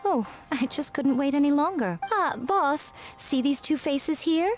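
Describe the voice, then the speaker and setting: An actress playing an elegant, playfully haughty fox shrine maiden in an anime video game, with a coy, self-satisfied drawl. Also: female voice, high voice, animated, measured pace, faint music behind. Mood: smug, teasing.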